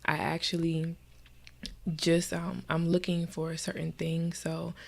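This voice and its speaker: Brief: woman vlogger, low gravelly voice, stuffy and nasal, relaxed filler-heavy chat. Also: a little slow. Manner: chatty, many fillers, soft at times. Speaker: gaming vlogger. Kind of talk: casual screen-recorded tutorial chat.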